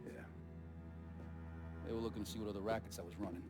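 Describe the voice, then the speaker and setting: An actor playing a weary but proud mobster in a video game, blunt, streetwise and profane. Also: male voice, fairly low pitch, steady tone, fast, close to an everyday voice. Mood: bitter. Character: weary, defiant, foul-mouthed veteran mobster, cornered but proud. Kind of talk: cinematic game dialogue. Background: guitar music.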